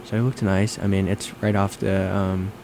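A man's voice: deep voice, flat delivery, moderate pace. A young man in casual conversation, leading the chat and asking questions. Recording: noisy room with air-conditioning hum.

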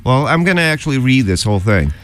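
A man with a Boston accent hosting a casual radio interview, relaxed and chatty, laughing easily. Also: mid-range voice, fast, faint music behind. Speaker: radio show host.

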